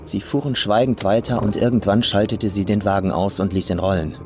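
A man's voice: lowish voice, steady tone, a little fast, over music. A man reading a scripted horror story as an audiobook narrator. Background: faint atmospheric ambience.

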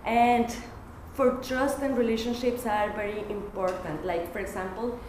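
Young woman from Mexico, student presenter, earnest and anecdotal; far camera, echoey classroom, steady hiss. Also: on the high side, normal speed. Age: young adult. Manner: earnest, anecdotal, light humor. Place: classroom at a lectern.

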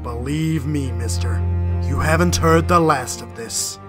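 An actor playing an indignant, threatening military officer in an audio drama, officious and blustering. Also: male voice, fairly high pitch, a little slow, music in the background, close to an everyday voice.

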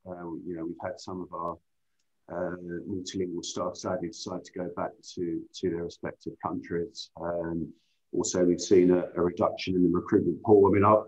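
A man in his thirties speaking in a measured, quiet way on a webinar panel over a video call. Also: low-pitched, dull audio. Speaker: outsourcing panelist. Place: indoor room, video call.

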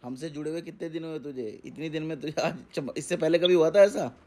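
Guy guru on a call, slightly high voice, telling someone what to do, keeps asking if they got it. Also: fairly quick, darkish tone. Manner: instructive, repeats instructions and checks understanding. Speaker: tantric guru advising a caller. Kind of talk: casual phone consultation. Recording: phone call recording.

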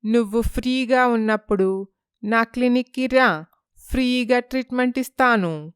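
A woman voicing a calm, self-assured psychiatrist in a moral story, explaining things in a measured, patronizing way. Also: fairly high pitch, clear, resonant tone, no echo, clean studio sound, close to an everyday voice. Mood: firm, explaining. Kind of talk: dramatized moral story dialogue.

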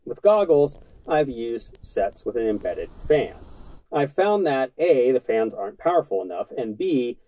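A man's voice: mid-range voice, breathy edge, poor audio. A man in his thirties talking to camera indoors, giving a scripted, matter-of-fact explainer on outdoor gear. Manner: earnest, matter-of-fact, steady delivery. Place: indoors in front of a brick-pattern backdrop.

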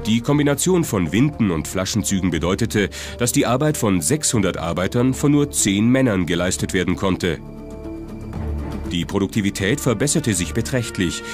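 Male, low voice, scripted documentary narration, prominent background music. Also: animated, moderate pace. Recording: music behind the voice.

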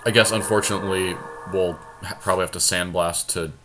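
A man's voice: sits low, fairly even intonation, brisk, noisy background. A man commenting casually on a game as he plays, thinking aloud in short, informal phrases. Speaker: podcast host.

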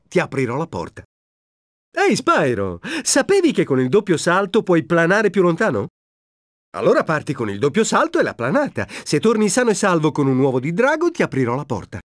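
An actor playing a cocky, friendly young cheetah sidekick in a video game dub, breezy, boastful and expressive. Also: male voice, on the high side, no room sound, studio quality. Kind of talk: animated video game voice acting.

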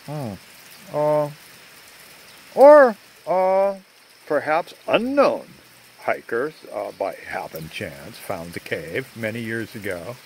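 An older man narrating a rambling video outdoors among trees, talking in a halting way with many fillers, recorded on a handheld phone. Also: medium pitch, very animated, darkish tone, slightly rough. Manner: slow, halting, full of uh fillers. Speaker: vlogger. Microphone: phone at arm's length.

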